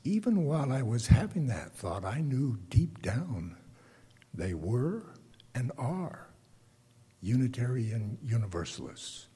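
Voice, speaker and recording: An older man with a bass singing voice gives a reflective, prepared lay sermon to a congregation, speaking deliberately and haltingly with pauses. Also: very animated, warm tone, slightly rough. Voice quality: self-described bass voice.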